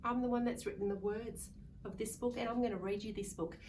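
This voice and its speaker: A woman's voice: a little high, steady tone, a little fast, dark tone. A woman reading a picture book aloud to young children in a sing-song way, coaxing them to join in. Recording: distant mic with faint background noise.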